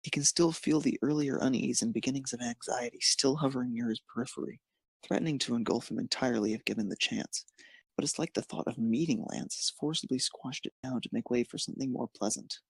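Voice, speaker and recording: A man narrates a piece of fan fiction in a gravelly, slightly husky voice of medium pitch. He reads from a script with the odd stumble, and the sound is dry. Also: brisk, no room sound. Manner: occasional stumbles while reading.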